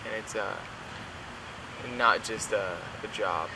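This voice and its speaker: A young man from Oklahoma answers casually, pausing and restarting often. His voice stays flat and even. Loud traffic and ambient noise sit under him. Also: on the low side. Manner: halting, many fillers and restarts. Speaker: worship internship graduate.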